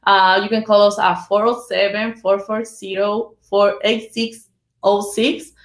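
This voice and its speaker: A woman's voice: medium pitch, level tone, measured pace. Spanish-accented woman, earnest guest, echoey sound. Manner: earnest, plain-spoken, speaks simply in a second language. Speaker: title company business development executive. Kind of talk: podcast interview guest.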